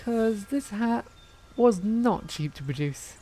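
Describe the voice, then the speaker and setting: A woman's voice: mid-range voice, expressive, takes it slowly, dark tone, voice pushed up. A fussing, affectionate loved one, acted by a woman for a fantasy audio drama. The words come tender and coaxing, a little fussy. There is faint background noise around the voice. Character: doting, fussing, affectionate partner or relative.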